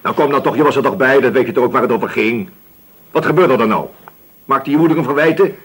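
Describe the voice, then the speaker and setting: An actor playing a male police inspector in a radio drama, questioning patiently but insistently with a slightly husky voice and deliberate pauses. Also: medium pitch, natural voice. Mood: pressing. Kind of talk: Dutch radio drama interrogation acting.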